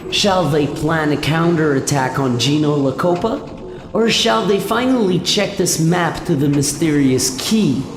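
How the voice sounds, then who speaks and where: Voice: mid-range voice, a little hiss or hum. A narrator reading a scripted science fiction radio serial in a storytelling style. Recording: echoey long reverb. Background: music.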